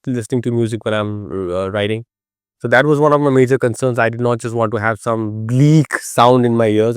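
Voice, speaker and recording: A man in his thirties with an Indian accent talks through a motorcycle gear review. His voice is smooth and clean, recorded dry with no room sound. He speaks casually without a script, pausing to find words and repeating them to make a point. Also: mid-range voice, no room sound. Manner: halting, repeats words for emphasis. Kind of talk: casual unscripted product review. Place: outdoors in a garden beside a motorcycle.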